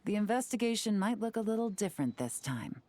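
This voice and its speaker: A woman's voice: mid-range voice, very animated, dark tone, a little hiss or hum, close to an everyday voice. A woman playing a poised, shrewd investigator in a video game, speaking with composed, confident polish. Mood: approving. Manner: composed, measured, coolly confident. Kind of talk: video game character voice acting.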